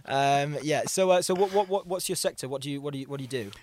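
A man's voice: fairly high pitch, fast talker, darkish tone, no echo. A man with a Yorkshire accent hosting a studio interview, friendly, with questions that stumble and repeat. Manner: friendly, stammers over questions. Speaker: interview host.

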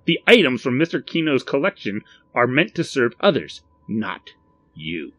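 Casting: exacting, sinister little man for an audiobook, a man's performance, formal and cold, with a sharp tone. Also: on the low side, sing-song, husky, full character voice. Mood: stern. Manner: formal, measured, menacing. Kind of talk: audiobook character acting.

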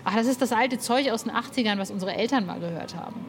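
A woman's voice: on the high side, quick pace, a little hiss or hum. A woman hosting a podcast interview in a casual, enthusiastic, slangy way.

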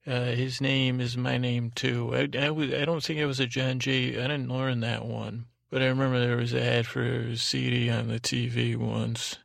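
Gravelly, rambling male podcast host. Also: medium pitch, flat delivery, no echo, clean studio sound. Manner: meandering, halting, repeats himself. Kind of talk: rambling, tangential solo podcast talk.

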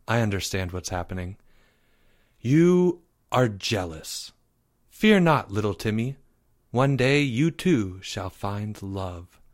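A man's voice: mid-range voice, sing-song, takes it slowly, a bit bright, heavily acted. A man voicing a lovestruck young boy in a storybook, theatrical and pompous. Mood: dreamy, grandiose.

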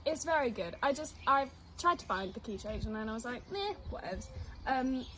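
A woman's voice: high voice, expressive, normal speed, fairly bright tone, slight background noise. A young woman co-hosting a casual chat, a bit sniffly and bunged up, rambling and giggly with lots of fillers. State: sniffly and congested. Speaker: co-host.

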